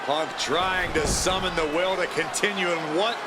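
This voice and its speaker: A man plays a wrestling commentator who sounds emphatic and deeply invested in the action. His voice sits high. The recording is full of loud background noise. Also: fairly even intonation, moderate pace, a loud crowd, close to an everyday voice. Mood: intense. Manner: emphatic, exclamatory. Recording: very noisy arena ambience.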